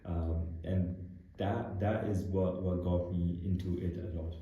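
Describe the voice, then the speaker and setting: A man's voice: low voice, steady tone, unhurried, phone-quality sound. A young man from Pakistan, a founder being interviewed, talks earnestly and thinks aloud with restarts and the odd laugh. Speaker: guest founder. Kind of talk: casual interview conversation. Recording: very echoey room.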